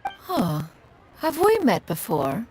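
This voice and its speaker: A woman's voice: on the high side, huge pitch swings, slow, a little mellow, heavily acted. A woman voicing a proud, ancient female adept spirit who speaks formally and calls herself "one", with game music under her. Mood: stately. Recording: game score playing under voice.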